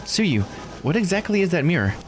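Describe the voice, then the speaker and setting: An earnest, curious young woman from a visual novel, played by a man with his voice lifted well up. Her delivery is polite and very animated, with lots of pitch movement and a little noise behind it. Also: fairly quick. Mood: astonished, curious. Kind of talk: visual novel character read aloud.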